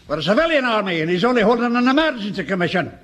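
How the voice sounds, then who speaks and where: Grumpy old scottish soldier, husky highish voice, doom and gloom, indignant, radio sitcom. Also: male voice, sing-song, very fast, fairly bright tone, full character voice. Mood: scornful. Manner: doom-laden, scornful. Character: dour, indignant, grumbling older Scottish soldier. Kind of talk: British radio sitcom acting.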